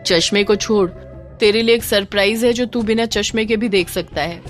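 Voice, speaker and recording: A woman's voice: mid-range voice, moderate pace, soft background music, close to an everyday voice. A woman voicing a teasing, scheming young man with a wry manner in an audio drama. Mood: teasing, amused. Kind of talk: Hindi audio drama character voice.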